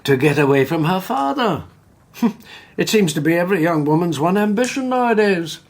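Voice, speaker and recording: An actor playing a wry, sardonic Victorian gentleman in a radio drama. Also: sits high, animated, moderate pace.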